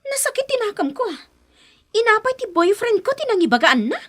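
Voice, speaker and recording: A young woman with a very high, animated voice. She is upset and indignant, exclaiming and pleading with a Filipino Ilocano accent in radio drama style. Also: a little fast. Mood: hurt, upset.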